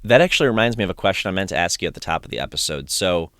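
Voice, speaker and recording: A low-pitched man hosts a relaxed podcast chat, rambling eagerly and restarting his sentences. His tone is smooth and clear in a dry, close recording, with a slight strain from recent singing. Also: very fast, dry recording. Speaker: podcast host. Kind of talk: casual conversational recap.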